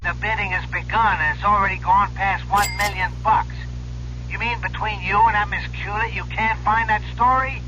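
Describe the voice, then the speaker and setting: A bossy, badgering showbiz agent from a radio play. His voice sits high and cuts sharply through a dull, slightly humming broadcast. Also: fairly even intonation, moderate pace, bright, forceful tone, slight background noise. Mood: excited, demanding. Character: pushy, excitable, fast-talking literary agent. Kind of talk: old-time radio drama acting. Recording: tinny old radio, humming line.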